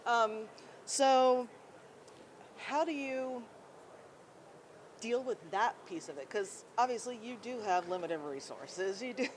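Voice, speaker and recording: A woman's voice: fairly high pitch, lively intonation, slow, pauses often. A woman hosting an interview show, asking rambling questions with fillers at a conference. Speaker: podcast host. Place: busy conference hall. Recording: noise of a conference floor.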